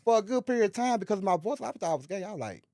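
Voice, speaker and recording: A young man from Mississippi with a Southern accent and a high-pitched voice, chatting casually as a rapper guest. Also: big pitch swings, very fast, warm tone. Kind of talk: casual chat.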